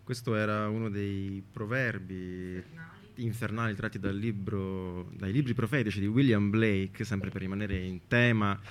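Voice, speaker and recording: A man's voice: on the low side, steady tone, a little slow. A man presenting and interviewing live as a radio host.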